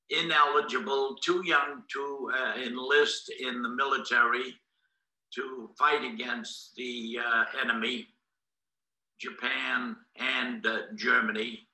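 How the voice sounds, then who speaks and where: An elderly man from Boston, a native Greek speaker, tells his life history at a very unhurried pace. His voice is strikingly sharp and forceful, with formal, halting phrasing. The room around him rings with echo. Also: medium pitch. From Boston, United States. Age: 90s. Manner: deliberate, halting, formal storytelling with long pauses. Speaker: interviewee, war veteran. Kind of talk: recounting life history in an interview. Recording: echoey room.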